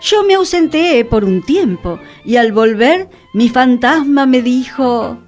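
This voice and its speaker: An actress playing a whimsical, touchy radio hostess in a fantasy radio play, with theatrical, florid phrasing. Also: female voice, high voice, animated, slow pace, faint music behind. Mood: wistful, insistent. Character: whimsical, touchy radio hostess living among enchantments and dragons. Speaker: radio show host. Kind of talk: scripted radio theatre dialogue.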